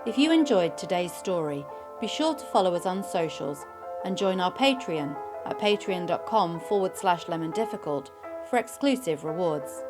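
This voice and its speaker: A woman reading a scripted podcast outro and promotion as an announcer. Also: fairly low pitch. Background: music.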